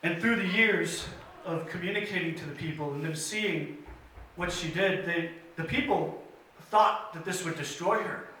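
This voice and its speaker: A man telling a missionary's life story at a church story time, in an earnest, measured way with pauses and small fillers. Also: a little high, sharp tone, slight background noise. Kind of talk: children's story time retelling. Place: church sanctuary. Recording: very echoey church hall from a distance.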